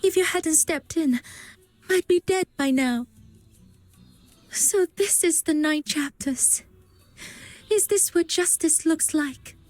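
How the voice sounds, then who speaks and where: A woman's voice: high voice, takes it slowly, long pauses, a little mellow, dry recording, faint music behind. An actress voicing an earnest, defiant young woman in a video game, guarded in manner. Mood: bitter.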